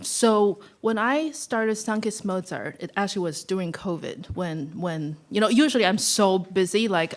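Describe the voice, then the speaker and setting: A woman guest speaker giving a casual, reflective talk, chatty and conversational in manner. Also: on the low side. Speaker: guest speaker, violinist and educator.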